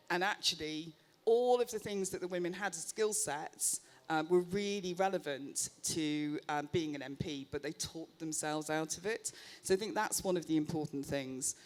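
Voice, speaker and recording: A woman executive speaking on a conference panel, chatty and self-deprecating, with frequent ums. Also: fairly low pitch. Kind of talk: live panel discussion. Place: conference stage. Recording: faint noise, live event ambience.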